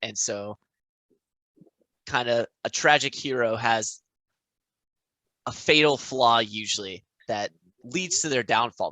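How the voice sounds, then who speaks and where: A man's voice: lowish voice, bright, forceful tone, dry recording, poor audio. A man co-hosting a podcast in a casual, conversational book discussion. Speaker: podcast co-host.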